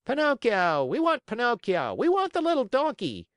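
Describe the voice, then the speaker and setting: A man's voice: very high-pitched, wildly swooping pitch, normal speed, fairly bright tone, no room sound, studio quality, voice pushed up, heavily acted. A man voicing eager boys calling out demands from a theater audience in a storybook. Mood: clamoring. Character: crowd of eager, clamoring boys.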